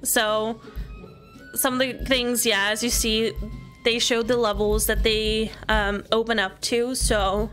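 Slow-talking woman with higher-pitched voice. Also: little pitch movement, fairly bright tone, faint music behind. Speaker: gaming video presenter. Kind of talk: casual game walkthrough commentary.

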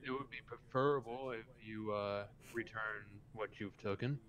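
A man playing a polite, measured police officer in a game roleplay, speaking formally and hesitantly over voice chat. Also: lowish voice, steady tone, dull audio, heavy background noise, lightly acted. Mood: hesitant, diplomatic.

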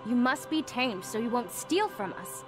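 A woman playing a proud, distrustful human in an animated fairy tale, firm and declaring. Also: on the high side, normal speed, over music, close to an everyday voice. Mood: stern, distrustful.

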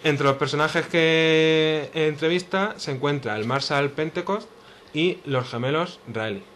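A man's voice: a little high, unhurried, fairly bright tone, slight background noise. A man from Spain hosting a casual podcast chat among friends, presenting the topic with hesitant fillers. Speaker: podcast host.

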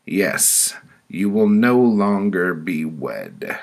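A merciless woman judge from a dark story, played by a male narrator, hands down her sentences in a commanding manner. The voice is pushed up in pitch and keeps a gravelly edge. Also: huge pitch swings, very slow, exaggerated voice. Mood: stern. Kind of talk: storytelling character voice.

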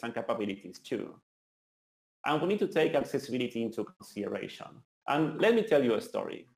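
A man in his thirties from Argentina with an Argentinian accent, giving an earnest, enthusiastic conference talk. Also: medium pitch. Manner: earnest, enthusiastic, some restarts. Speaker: conference speaker. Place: meetup venue hall. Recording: roomy hall sound.

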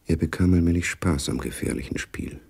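A man's voice: deep voice, fairly even intonation, fairly quick, darkish tone, husky. A man narrating a radio play spy story in a grave, knowing, literary manner. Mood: grave, watchful.

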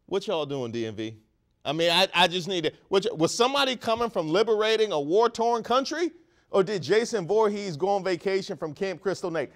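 Male host, thirties, studio news-comedy monologue, high lively voice, mock outrage. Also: fairly quick. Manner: animated, mock-outraged, jokey asides. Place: studio set.

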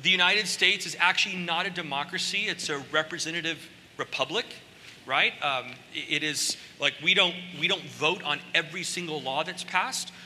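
A middle-aged man, born in Costa Rica, preaching a conversational sermon on a large church stage with animated asides. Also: a little high, normal speed, a little hiss or hum. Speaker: pastor. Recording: long hall reverb.

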